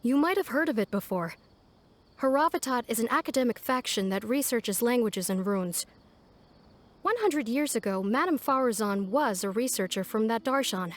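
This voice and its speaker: A woman's voice: on the high side, animated, moderate pace, natural voice. A woman voicing a polite but firm academic administrator in a video game, with a formal, diplomatic manner. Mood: polite, explanatory. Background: music.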